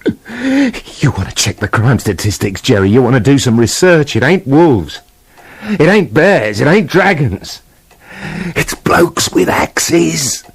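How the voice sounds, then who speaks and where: A man's voice: mid-range voice, very animated, takes it slowly, slightly rough, full character voice. An actor playing a talking wolf in a radio play, a plain-speaking, aggrieved family man who questions and argues colloquially. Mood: indignant.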